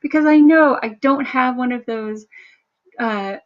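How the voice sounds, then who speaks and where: A woman instructor talking through a screen-share workshop in a chatty, self-deprecating way, with a low, somewhat monotone voice. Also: a little slow, highs cut off. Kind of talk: screen-share tutorial narration.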